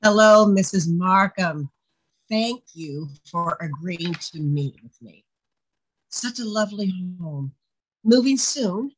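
A woman plays an older, seasoned journalist in a stage drama. She speaks slowly and drily, guarded and wry, over a video call. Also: on the low side, expressive, breathy edge, exaggerated voice, poor audio. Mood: polite, wry. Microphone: video call microphone.

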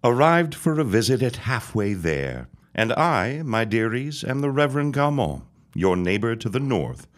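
An actor playing a vengeful, menacing old reverend who taunts with pompous, mock-courtly manners in a period audio drama. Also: male voice, medium pitch, sing-song, moderate pace, hardly any pauses, close to an everyday voice. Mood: mock-courtly menace.

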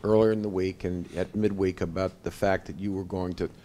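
A man's voice: deep voice, level tone, brisk, mellow, slight background noise. A man working as a reporter, asking a question at a press conference.

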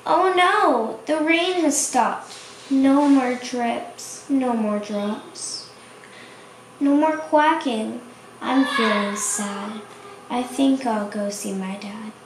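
A woman's voice: high-pitched, extremely slow, far from the mic, full character voice. A woman voicing a playful young duckling from a children's picture book, in simple sing-song rhymes. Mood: sad. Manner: simple sing-song rhyming storybook delivery. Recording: echoey room, faint noise.

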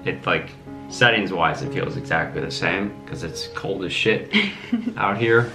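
Casual vlog guest, male, twenties, American, fairly low voice, blunt and sweary, soft guitar music underneath. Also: measured pace. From New York City, USA. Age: 20s. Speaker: guest, host's boyfriend. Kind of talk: casual chat. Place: small home kitchen, indoors. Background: music with plucked guitar.

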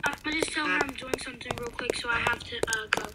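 A woman's voice: a little high, fast. A young girl from California, co-hosting a gaming channel in casual gameplay chat, rambling and often dropping to a whisper. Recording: loud background noise over game audio.

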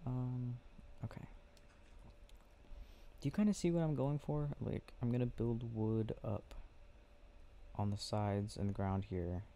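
A young adult man doing a soft, casual ASMR boyfriend roleplay, murmuring intimately and unhurriedly to a listener close by. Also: medium pitch, a little slow, gravelly, pauses often. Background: clicking and game ambience.

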